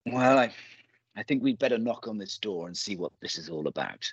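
A man playing a polite, uneasy and protective gentleman in a radio play, formal and slightly hesitant, on a dull recording. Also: lowish voice, expressive, fairly quick, very noisy. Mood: concerned, uneasy.

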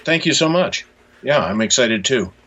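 An American man answering interview questions in a thoughtful, halting, conversational way over a lo-fi remote line. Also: medium pitch, expressive, pushed and bright, slightly rough. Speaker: cartoonist and author, interview guest.

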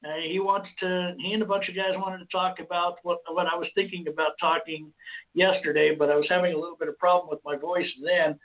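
Hoarse man hosting over a tinny line. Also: high-pitched, flat delivery, brisk. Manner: rambling, with many restarts and fillers. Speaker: host. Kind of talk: casual call-in radio talk. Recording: phone-like lo-fi line.

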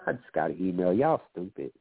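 A man's voice: low voice, big pitch swings, brisk, husky. A man hosting a call-in radio show, giving loose, profane relationship advice, a little nasal and wheezy from allergies. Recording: phone-like lo-fi line.

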